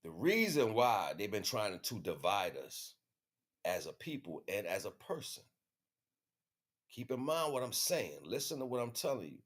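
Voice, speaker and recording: An American man livestreaming an informal spiritual monologue to viewers, speaking in emphatic, repetitive, slangy street talk. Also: mid-range voice. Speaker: livestream host.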